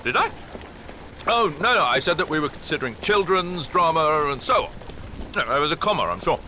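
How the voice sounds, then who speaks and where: An actor playing a flustered, well-meaning 1920s radio broadcaster in a radio drama, hesitant and genteel. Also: male voice, on the high side, sing-song, a little fast, bright, forceful tone, slight background noise. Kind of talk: period radio drama acting.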